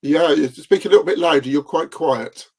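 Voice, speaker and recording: A man chairing an online conference, asking questions and introducing speakers in a polite, brisk, upbeat manner over a video call. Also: on the high side, darkish tone. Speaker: event chair and host.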